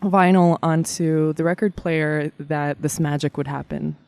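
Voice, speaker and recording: A woman in her thirties from Kazakhstan, telling animated stories about her childhood as a guest in an interview. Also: sits low, measured pace, gravelly, no echo. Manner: warm, animated storyteller with frequent laughs and fillers. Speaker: guest artist. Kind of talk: casual interview storytelling.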